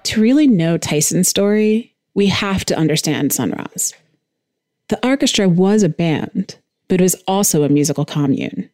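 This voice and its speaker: A woman's voice: fairly low pitch, animated, normal speed, darkish tone, no echo, clean studio sound. A woman hosting a podcast, reading a scripted documentary-style narration. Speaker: podcast host.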